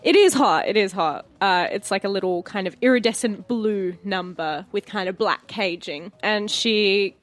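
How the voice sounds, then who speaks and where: Female tabletop player, mid-pitched, informal and sweary narration with light music behind. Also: moderate pace, hardly any pauses, dry recording. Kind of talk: casual narration of her character. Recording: music bed under the voice.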